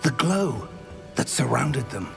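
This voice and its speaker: Gravelly, animated wizard professor. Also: male voice, medium pitch, music in the background, full character voice. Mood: awed, solemn. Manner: deliberate, dramatic, formal. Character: learned male wizard professor, earnest and protective. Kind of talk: video game cutscene acting.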